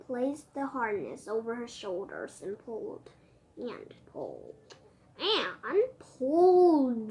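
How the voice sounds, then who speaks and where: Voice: mid-range voice, a little mellow. A child reading a storybook aloud, putting expression into the narration. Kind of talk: reading a picture book aloud.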